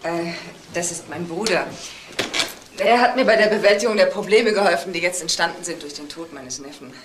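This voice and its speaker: A woman's voice: fairly low pitch, brisk, natural voice. An actress playing a guarded, dismissive married woman in a crime drama. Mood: polite. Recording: clear background noise with clicks.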